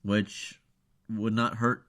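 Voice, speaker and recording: A man's voice: fairly low pitch, little pitch movement, slow pace, a bit bright. Congested, nasal-sounding man. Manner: blunt, offhand. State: congested from a cold. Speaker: podcast host. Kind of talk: casual chat.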